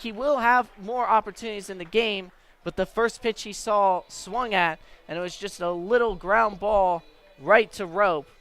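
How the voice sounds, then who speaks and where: A man with an American accent and a notably high voice does live baseball play-by-play for radio. He describes each pitch in a measured way and lifts his delivery as the action builds. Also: a bit bright. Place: at a baseball stadium.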